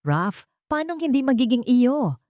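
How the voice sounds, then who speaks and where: A woman's voice: sits high, very animated, measured pace, dark tone, dry recording, studio quality, pitched above the performer's own voice. A woman voicing a distraught young mother in a Tagalog drama story, pleading tearfully with a strained, breaking voice. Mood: desperate pleading.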